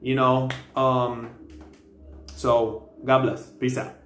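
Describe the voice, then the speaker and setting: A man's voice: mid-range voice, flat delivery, very slow, fairly bright tone. Man, casual vlog host, loose slangy rambling talk, mid-range voice, roomy echo. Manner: loose and slangy, with many fillers and restarts. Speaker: video host. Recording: roomy echo with faint background noise.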